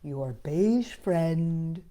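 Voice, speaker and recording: A woman's voice: deep voice, animated, extremely slow, mellow, voice pushed down, full character voice. A woman voicing a proud, slighted crayon grumbling about being second-best in a storybook read-aloud. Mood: aggrieved, proud.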